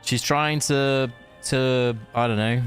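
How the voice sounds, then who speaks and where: A man doing casual live game-stream commentary, joking, swearing and haltingly reading story text aloud. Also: medium pitch, fairly even intonation, faint music behind.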